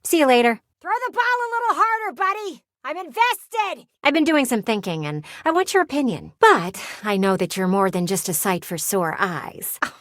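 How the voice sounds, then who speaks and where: An actress voicing a playful, sardonic living window in a video game, with a teasing, theatrical and blunt delivery. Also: female voice, high-pitched, sing-song, a bit bright, no echo, clean studio sound. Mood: excited, chatty. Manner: teasing, theatrical, blunt, sighs and drawn-out words. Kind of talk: video game character voice acting.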